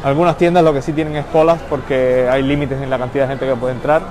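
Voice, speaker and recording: A man's voice: mid-range voice, fairly even intonation, fast talker, over music. A man hosting a live walking tour through a busy shopping mall, greeting viewers by name as he goes. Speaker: livestream host. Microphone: handheld phone camera. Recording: phone live stream with loud mall noise. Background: crowd and mall ambience.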